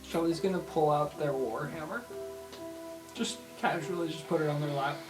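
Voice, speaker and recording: A man's voice: a little high, normal speed. A man playing a tabletop game, casually describing what his character does in an improvised style. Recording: background music under voice.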